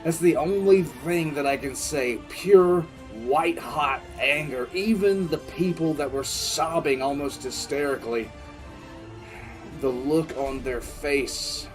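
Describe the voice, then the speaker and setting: A man's voice: a little high, slow, faint music behind, low-quality recording. A man playing a grim, battle-weary military commissar, narrating dramatically in the first person for an audio drama. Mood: intense, incredulous.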